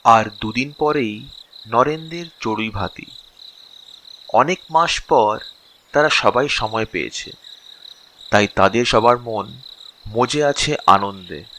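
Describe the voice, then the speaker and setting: Guy narrating a story real slow, bright voice, dramatic pauses, faint crickets n birds behind. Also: mid-range voice, creaky, a little hiss or hum. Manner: expressive, short phrases with dramatic pauses.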